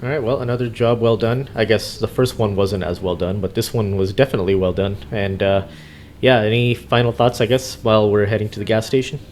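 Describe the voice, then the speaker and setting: A man's voice: on the low side, fairly even intonation, fairly quick, darkish tone, a little hiss or hum. A man chatting casually and unscripted as he plays a trucker in a game, easygoing and a little hesitant. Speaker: gaming host playing a trucker. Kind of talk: casual unscripted gameplay chat.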